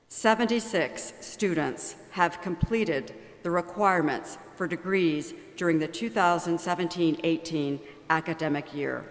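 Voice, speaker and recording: A woman reading formal announcements as a ceremony announcer, measured and formal. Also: fairly low pitch. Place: large arena. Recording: long arena reverb.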